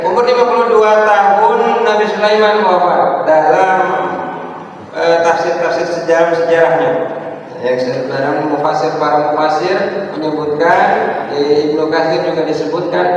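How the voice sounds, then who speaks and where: A man's voice: on the high side, a little hiss or hum. A male preacher with a stuffy nose from a cold, giving a conversational dawn lesson in a mosque and checking in with the congregation. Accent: Indonesian. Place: mosque prayer hall. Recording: echoey hall from far off.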